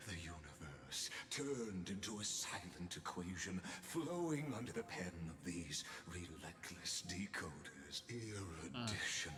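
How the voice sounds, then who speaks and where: A deep, echoing voice for a sinister machine mastermind in a video game. The delivery is ornate and falsely courteous, swooping widely in pitch as it lectures with grand theatrical poise. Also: male voice. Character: suave, courteous, sinister male machine mastermind observing his experiment.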